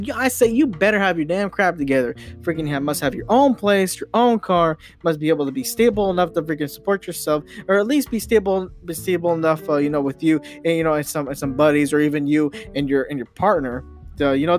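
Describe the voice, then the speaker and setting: High-voiced young man rambling over guitar music. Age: young adult. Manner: halting, repeating and restarting phrases, emphatic, mildly sweary. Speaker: podcast host. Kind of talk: rambling, opinionated podcast monologue.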